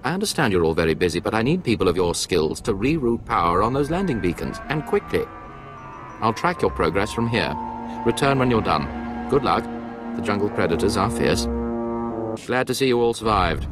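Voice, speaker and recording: A businesslike military commander in a video game. His voice is fairly low and formal, delivering an urgent briefing over faint music. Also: a bit bright. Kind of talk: video game cutscene acting.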